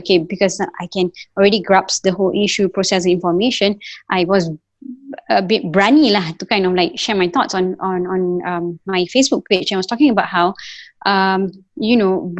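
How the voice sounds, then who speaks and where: A woman's voice: mid-range voice, dry recording, highs cut off. A woman from Singapore with a Singaporean English accent that slips into Malay words, speaking earnestly as an interview guest and often restarting her sentences. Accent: Singaporean English with Malay code-switching. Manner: earnest, with frequent restarts and fillers. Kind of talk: casual conversation.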